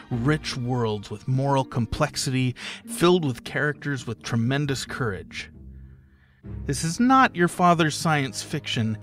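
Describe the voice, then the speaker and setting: A man giving an enthusiastic, conversational and dramatic promotional pitch as an audio producer. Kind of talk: enthusiastic crowdfunding promo narration. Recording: music bed behind voice. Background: background music.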